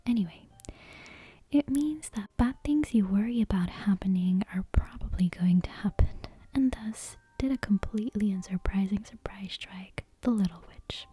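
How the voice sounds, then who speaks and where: A woman speaking very softly in a breathy near-whisper close to the microphone, gently hosting bedtime-story ASMR. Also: medium pitch, normal speed. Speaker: ASMR channel host. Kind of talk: soft-spoken, whispery ASMR, intro and bedtime story reading. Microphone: close ASMR microphone with headphone monitoring.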